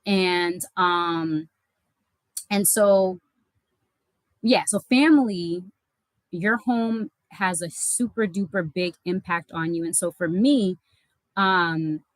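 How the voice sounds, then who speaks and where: A woman's voice: on the low side, slow pace, long pauses, dry recording, poor audio. A young adult woman from the United States, a life coach and channel host, talking casually and reflectively to camera with many fillers and self-corrections. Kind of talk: reflective personal talk to camera.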